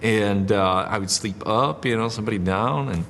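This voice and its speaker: Czech-accented male missionary, low voice, relaxed and laughing, reverberant hall with faint noise. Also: normal speed. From Czech Republic. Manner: easygoing, laughs often, many fillers. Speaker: missionary guest. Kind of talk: casual interview.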